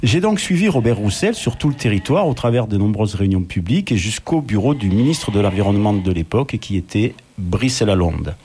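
A man, telling a personal story as a read-out radio column, measured and wry. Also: on the low side, lively intonation, a little mellow.

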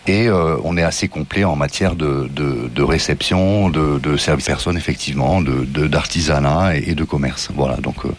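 Very deep-voiced halting man. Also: a little hiss or hum. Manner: halting, many fillers and repeated words. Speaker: interview guest. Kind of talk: interview answer.

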